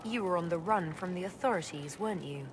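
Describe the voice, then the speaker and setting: An actress playing a composed, authoritative leader in a fantasy video game, speaking in a formal, firm and measured way. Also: female voice, on the low side, noisy background, lightly acted. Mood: probing. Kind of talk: dramatic video game acting.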